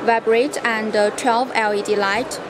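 A young woman with a Chinese accent answers product questions in short, halting non-native English as a booth representative. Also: on the high side, steady tone. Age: young adult. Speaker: company representative at a trade show booth. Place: busy indoor exhibition booth. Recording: noisy, crowded trade show hall.

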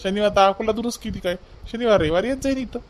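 A man as a high-voiced, insistent cartoon son. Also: quick pace, a little hiss or hum, lightly acted. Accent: Vidarbha Marathi. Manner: reassuring, repetitive, insistent. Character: young married son, breezy and insistent. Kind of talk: cartoon family comedy dubbing.

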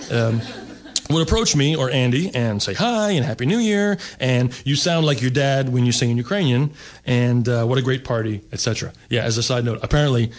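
Middle-aged man from the US, seated stand-up storyteller; wry, rambling delivery. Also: mid-range voice. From United States. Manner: wry, rambling with fillers, mock-snobbish asides. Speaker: stand-up comedian. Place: seated at a table, indoor stage.

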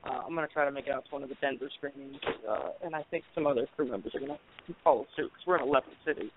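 A man's voice: medium pitch, fairly even intonation, rapid-fire, noisy background. Man joking over a tinny phone line. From Colorado, USA. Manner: joking, talkative, thinks aloud with fillers. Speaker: film director, guest. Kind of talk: casual phone interview.

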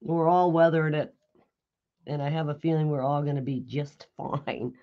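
A raspy, hoarse woman hosting a live craft tutorial, chatting with viewers and answering their comments while she works. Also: low-pitched, steady tone, normal speed, muffled recording, a little hiss or hum. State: hoarse after illness. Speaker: craft video host. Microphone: overhead camera on a craft table.

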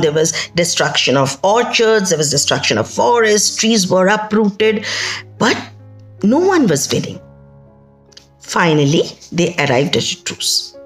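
A middle-aged woman with an Indian accent telling a folktale in an expressive, emphatic way, with conversational asides. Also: on the low side. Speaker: storyteller. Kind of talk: dramatic oral folktale storytelling. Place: indoors, small plain room. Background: music.

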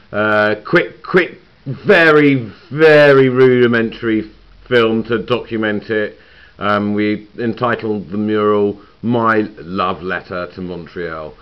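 A man giving a rambling, joking walkthrough of his own mural in an indoor studio room, talking away from the camera. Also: medium pitch. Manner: rambling, joking, trails off into asides. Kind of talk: casual narrated walkthrough. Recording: dull lo-fi camcorder audio.

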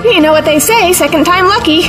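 A woman's voice: very high-pitched, a little fast, over music. A woman acting in an audio drama as a headstrong, sardonic companion with a dry, quipping manner. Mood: wry.

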